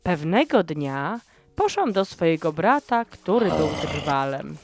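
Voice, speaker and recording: A woman narrating a first-person horror story in a slow, dramatic storytelling manner. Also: low voice, sing-song. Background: background music.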